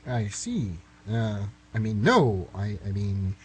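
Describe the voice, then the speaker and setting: Man for exasperated novelist, amateur radio play. Very raspy, animated intonation, stammering and sarcastic. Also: lowish voice, slow, exaggerated voice. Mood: baffled. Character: bewildered, exasperated male novelist, abducted and grumbling. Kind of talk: amateur radio comedy acting.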